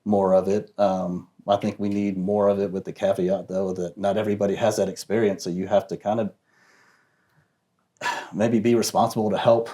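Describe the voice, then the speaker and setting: A man speaking as a guest in a discussion, reflective and soft-spoken, with many pauses and fillers. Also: fairly low pitch, flat delivery. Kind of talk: casual discussion.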